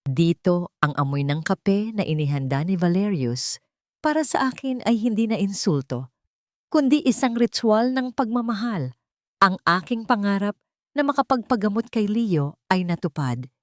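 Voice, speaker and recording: A woman's voice: mid-range voice, expressive, moderate pace, no room sound, studio quality. A woman narrating a scripted first-person story for an audio drama.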